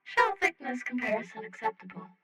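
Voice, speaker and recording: A woman's voice: extremely high pitch, big pitch swings, a little fast, pushed and bright, no room sound, pitched above the performer's own voice, full character voice, poor audio. A woman voicing a small, literal-minded, curious alien student who speaks in clipped, telegraphic phrases in an audio story. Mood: curious, precise.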